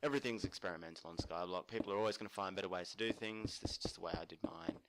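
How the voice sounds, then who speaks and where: A man casually narrating a building tutorial while playing, murmuring to himself and correcting himself as he goes, his voice a little croaky. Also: low-pitched, racing, background noise. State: slightly croaky from screaming at a concert. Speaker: let's play host.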